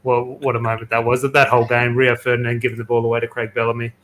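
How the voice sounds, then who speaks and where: Fast-talking enthusiastic man hosting a podcast. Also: medium pitch, completely flat delivery, fairly bright tone, slight background noise. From Australia. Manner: chatty, enthusiastic storytelling with fillers. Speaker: podcast host. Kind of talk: casual football podcast chat.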